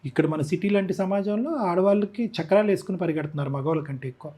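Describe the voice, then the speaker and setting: Husky higher-voiced Telugu man explaining. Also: quick pace, mellow. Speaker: expert guest. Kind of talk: conversational interview commentary mixing Telugu and English.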